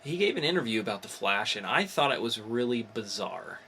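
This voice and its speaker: A man's voice: medium pitch, very animated, brisk, bright, forceful tone, a little hiss or hum. A man hosting a podcast in casual chat, informal and opinionated in the way he talks. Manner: opinionated, informal, mildly profane. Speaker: podcast host. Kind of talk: casual podcast chat about comics and movie news.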